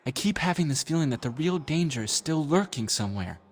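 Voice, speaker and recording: Anxious, husky, animated teammate. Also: male voice, fairly high pitch, a little fast. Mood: urgent. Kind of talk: dramatic video game voice acting.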